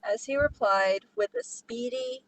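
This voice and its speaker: A woman's voice: on the high side, very animated, measured pace, a bit bright, rough recording. A woman reading an online story aloud as a podcast co-host, in a casual way with sarcastic asides.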